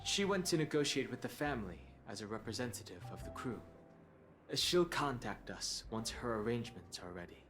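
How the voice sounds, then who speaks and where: A man's voice: medium pitch, lively intonation, normal speed, natural voice. An actor playing a serious, reserved young man in a video game, with a restrained, factual delivery. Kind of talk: voiced video game dialogue. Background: background music.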